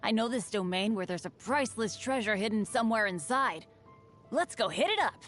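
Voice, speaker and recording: A woman's voice: fairly high pitch, lively intonation, fairly quick, a bit bright, faint music behind. A woman voicing a cheerful, unlucky teenage boy adventurer for a video game, earnest, casual and humble. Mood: excited, upbeat. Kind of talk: video game character voice lines.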